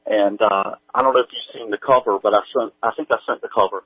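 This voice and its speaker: A man speaks as a guest in a casual interview, hesitant and halting. His voice sits slightly low, heard dry through a narrow, tinny, phone-like connection. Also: steady tone, slightly rough, no room sound.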